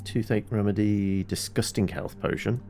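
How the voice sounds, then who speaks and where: A man's voice: on the low side, steady tone, moderate pace, gravelly. A man giving casual, chatty let's play commentary, rambling as he thinks aloud, with music behind him. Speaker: game streamer, host.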